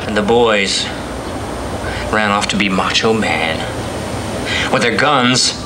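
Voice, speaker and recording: Guy playing a frustrated cynical writer, ranting like a radio drama, lowish voice, really noisy old recording. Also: slow. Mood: bitter. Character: frustrated, bitter male writer with writer's block. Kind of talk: radio-play dramatic monologue and dialogue. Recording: very noisy lo-fi analog film transfer.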